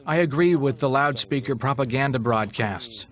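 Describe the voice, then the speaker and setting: A man giving a measured answer in an interview. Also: mid-range voice, a bit bright. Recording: crowd noise behind the voice.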